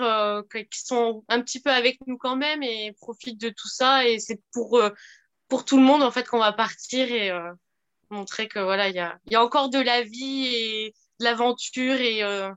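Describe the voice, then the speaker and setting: Woman, fairly high pitch, smooth clear voice, hesitant, earnest, lots of fillers. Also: steady tone, moderate pace, a bit bright, lo-fi sound. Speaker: rally participant. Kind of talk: interview answers.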